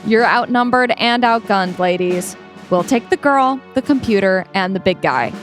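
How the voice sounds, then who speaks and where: A woman's voice: medium pitch, lively intonation, moderate pace, soft background music, lightly acted. A woman playing a haughty, coolly menacing corporate villain in an audio play. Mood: smug, dismissive. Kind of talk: scripted audio-play acting.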